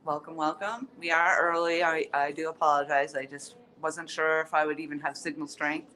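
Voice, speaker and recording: A woman's voice: sits low, fairly even intonation, moderate pace, sharp tone, slight background noise, rough recording. A woman hosting a casual live-stream tour in an outdoor courtyard, chatty and upbeat with many ums as she greets viewers, sounding stuffy-nosed and sniffly.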